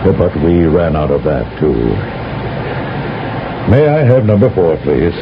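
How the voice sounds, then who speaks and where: An actor playing a solemn older general who briefs an agent in a measured, lecturing way, in a 1970s radio drama. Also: male voice, low-pitched, very animated, full character voice. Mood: grave. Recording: dull lo-fi old radio broadcast with faint hiss.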